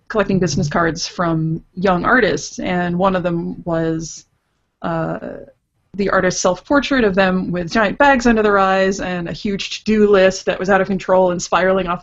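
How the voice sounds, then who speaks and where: A woman guesting on a casual podcast over an online call with dull, lo-fi audio, talking hesitantly. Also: fairly low pitch. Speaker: podcast guest, comics artist.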